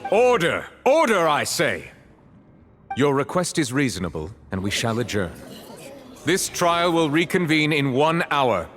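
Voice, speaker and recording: A man's voice: fairly high pitch, sing-song, measured pace, fairly bright tone, faint music behind. Stern judge guy, formal and authoritative, big echoey hall with crowd, video game. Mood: firm, official. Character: stern, formal male judge presiding over a grand court. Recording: long echoing hall, crowd behind.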